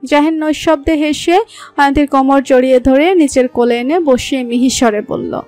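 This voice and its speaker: A woman narrating a romantic story in a smooth, even storytelling voice. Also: high-pitched, moderate pace. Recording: soft background music.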